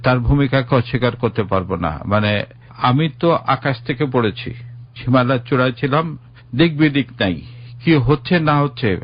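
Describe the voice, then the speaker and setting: A man's voice: mid-range voice, expressive, moderate pace, husky, muffled recording. A middle-aged man with a Bangladeshi accent, a guest earnestly giving a personal account and explaining himself defensively. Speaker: interview guest.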